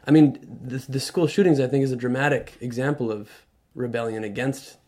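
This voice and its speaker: A man speaking as a guest on a radio show, earnest and reflective, often restarting his sentences. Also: mid-range voice. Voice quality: loud voice. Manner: earnest, halting with many restarts. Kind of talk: reflective conversational talk. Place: radio studio.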